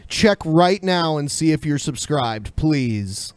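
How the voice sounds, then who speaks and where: A man's voice: a little high, animated, slow, no room sound. A man co-hosting a podcast, casually running through announcements and chatting with some swearing. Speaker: podcast co-host. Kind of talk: casual, profane chat and announcements.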